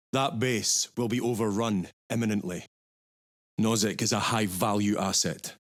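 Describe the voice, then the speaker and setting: An actor playing a stern, battle-hardened Space Marine commander in a video game, giving terse, authoritative orders in a gritty voice. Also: male voice, fairly low pitch, fairly bright tone. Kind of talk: dramatic video game voice acting, mission briefing.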